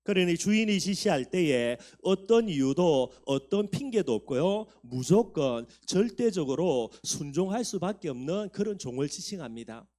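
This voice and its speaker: A man's voice: fairly high pitch, expressive, normal speed, few pauses, warm tone. A man in his fifties, a pastor preaching a sermon, talking earnestly to the congregation and building up for emphasis. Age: 50s.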